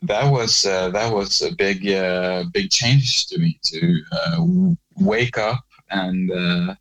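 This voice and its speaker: A Norwegian man speaking English as a second language in a casual interview, often pausing to search for words. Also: fairly low pitch, animated, a bit bright, low-quality recording. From Norway. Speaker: guest, singer-songwriter. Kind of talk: casual conversation.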